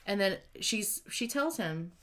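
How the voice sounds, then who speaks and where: A woman's voice: mid-range voice, lively intonation, measured pace, fairly bright tone. A woman chatting casually as a review co-host, emphatic and slangy, repeating phrases and swearing freely. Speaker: co-host reviewing a graphic novel. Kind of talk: casual chat, book discussion.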